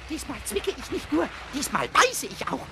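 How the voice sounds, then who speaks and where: Brief: tiny squeaky kobold, hot-tempered and mischievous, voice leaping all over, noisy old radio play tape. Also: male voice, very animated, normal speed, creaky, full character voice. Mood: furious, boastful. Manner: shrill, sputtering rage, repeats himself.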